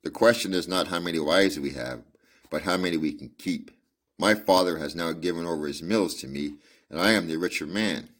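A low-voiced man plays a boastful young heir who brags about his wealth in a cocky storybook tone. The voice sits close to the reader's own deep one. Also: a little fast, fairly bright tone. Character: arrogant rich young male suitor.

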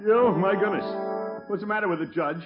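An actor playing a hearty, blustery middle-aged small-town commissioner in a 1950s radio comedy, with an exclamatory manner. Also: male voice, high voice, a little fast, close to an everyday voice. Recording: dull lo-fi old radio recording, music.

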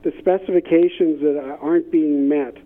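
A narrow, phone-like line carries a man's somewhat high voice. He speaks as a consultant being interviewed, explaining things conversationally. His phrasing halts and doubles back on words as he goes. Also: fairly even intonation, moderate pace. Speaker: interview guest, business innovation consultant. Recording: tinny, band-limited phone-like line.

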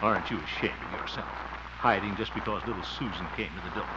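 A man's voice: on the low side, fast talker, close to an everyday voice. An actor playing a fussy, exasperated grown-up man in the exclamatory style of 1950s radio comedy. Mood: bossy, exasperated. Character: fussy, blustering grown-up man minding a small boy. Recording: muffled, noisy old radio recording.

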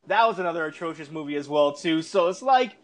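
A man hosting a podcast, chatting casually in an animated, slangy way with plenty of swearing. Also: a little high, fast. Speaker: podcast host. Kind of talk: casual ranting movie chat.

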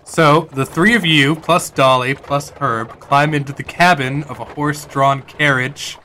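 A man's voice: on the high side, steady tone, measured pace, sharp tone, a little hiss or hum. A man running a tabletop game as game master, narrating scenes fluently with small fillers.

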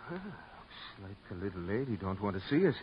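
Casting: easygoing, colloquial police partner, 1950s radio drama, dull and noisy sound. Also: male voice, fairly low pitch, very animated, fairly quick. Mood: wry.

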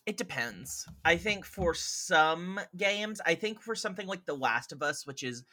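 A man's voice: fairly high pitch, expressive, measured pace, bright, forceful tone, dry recording, studio quality. A man chatting casually as a podcast guest, opinionated and emphatic in the way he talks.

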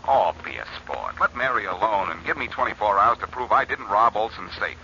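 A breezy, quick-witted American sleuth from a 1940s radio detective show. The voice is on the low side and very animated, needling and insistent. It comes through a dull, noisy vintage broadcast recording. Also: male voice. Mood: breezy, coaxing. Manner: wisecracking, insistent.

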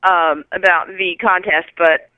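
A woman's voice: fairly low pitch, fairly even intonation, unhurried, sharp tone, dry recording. Woman caller on a tinny phone line. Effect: telephone. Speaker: caller leaving a listener message. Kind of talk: casual chat. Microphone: phone call.

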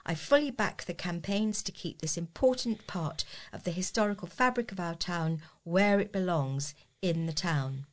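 Low-pitched woman reading local news. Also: moderate pace. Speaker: volunteer newsreader. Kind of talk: scripted local news reading for a talking newspaper.

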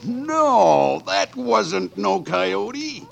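Old western stage driver: very high, heavily creaky, folksy and excitable, old radio drama. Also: male voice, extremely slow, slight background noise, exaggerated voice. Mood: folksy wonder. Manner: drawling backwoods talk, hollering. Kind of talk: 1940s radio acting. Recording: old radio broadcast with music.

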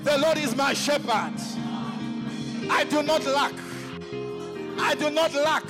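Man preaching, very high voice, slow deliberate pace, declarations, church music behind. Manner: forceful call-and-response declarations, often shouting. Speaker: pastor. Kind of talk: sermon, leading congregation in declarations.

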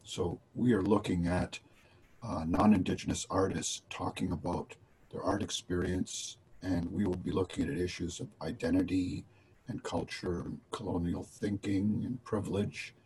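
A man hosting a podcast, asking a guest polite, measured questions. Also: low-pitched, fairly even intonation, a little mellow, slight background noise, poor audio. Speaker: podcast host. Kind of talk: interview hosting.

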